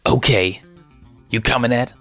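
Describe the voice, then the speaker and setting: A man's voice: mid-range voice, unhurried, a bit bright, soft background music, voice pushed up. A man voicing a nervous, hapless male hyena sidekick in a cartoon animal story. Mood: hesitant.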